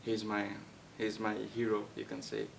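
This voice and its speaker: Gravelly, halting young man from Nepal. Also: monotone. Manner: halting, many fillers and restarts. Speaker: guest actor. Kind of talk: casual interview. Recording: distant mic with faint rumble.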